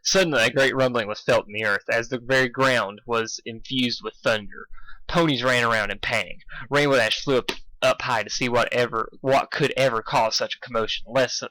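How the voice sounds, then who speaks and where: A man reading fan fiction aloud in a casual, expressive way, stumbling over lines and poking fun at himself. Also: medium pitch, moderate pace, no room sound, poor audio. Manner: self-deprecating, swearing, stumbles over lines. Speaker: amateur fan fiction reader. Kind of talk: casual intro and expressive read-aloud narration.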